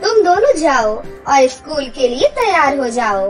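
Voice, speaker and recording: A woman's voice: sits high, sing-song, a little slow, distant mic, loud music behind the voice. A woman voicing a devoted, hard-working mother in a cartoon story, reassuring and motherly. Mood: tender, reassuring. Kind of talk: cartoon voice acting.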